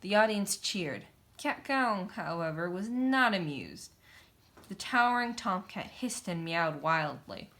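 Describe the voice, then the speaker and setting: A woman's voice: mid-range voice, expressive, slow pace, pushed and bright. A woman reading a children's picture book aloud, narrating in a theatrical, emphatic way. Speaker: storybook reader. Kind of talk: dramatic children's story narration.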